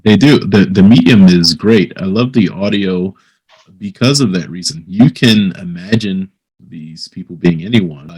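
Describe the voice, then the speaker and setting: A man hosting a casual interview, speaking in a hesitant, halting conversational way. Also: deep voice, darkish tone, breathy edge, rough recording. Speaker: interviewer, host.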